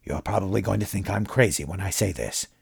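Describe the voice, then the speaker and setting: A man voicing a weary, wary actor who speaks low and subdued. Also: a little fast, creaky, breathy edge, dry recording, clean studio sound, full character voice. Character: weary, wary middle-aged male actor.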